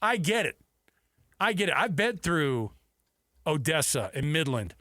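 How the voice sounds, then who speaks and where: Emphatic higher-voiced male sports radio host. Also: very animated, a little slow, bright, forceful tone, no room sound, studio quality. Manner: emphatic, repeats points for emphasis. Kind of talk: opinionated sports talk radio. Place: radio studio.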